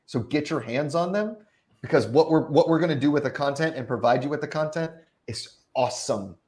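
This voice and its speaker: Male host, voice a little higher than average, informal and jokey live talk show banter. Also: animated, fairly quick, a little mellow.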